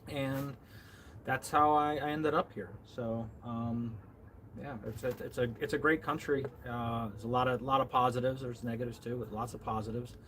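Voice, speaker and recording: A man's voice: mid-range voice, fairly even intonation, moderate pace, fairly bright tone, slight background noise, rough recording. Rambling American man hosting a live chat. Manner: rambling, many fillers and restarts. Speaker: livestream host.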